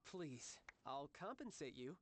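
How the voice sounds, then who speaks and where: An actor playing a suave young villainous commander in a video game, with polished, theatrical, formal delivery. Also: male voice, a little high, big pitch swings, measured pace, fairly bright tone, heavily acted. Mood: placating. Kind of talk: video game character dialogue.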